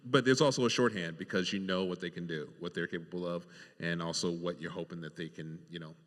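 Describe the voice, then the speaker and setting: A man chatting casually on a panel as a TV writer, loose and halting, repeating words. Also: low voice, fairly even intonation, fairly bright tone. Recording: reverberant hall.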